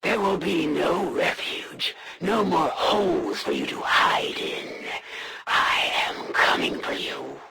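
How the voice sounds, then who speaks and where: An actress playing a proud, menacing alien assassin in a video game, speaking in a formal, haughty, threatening way. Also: female voice, fairly low pitch, slow, creaky, heavy background noise. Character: proud alien assassin warrior, female, menacing, honor-bound, disdainful. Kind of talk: scripted video game villain voice acting.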